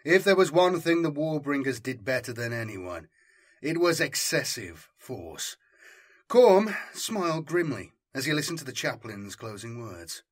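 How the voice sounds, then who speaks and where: A man narrating a scripted story as an audiobook, in a measured, solemn and dramatic way. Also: mid-range voice, very animated.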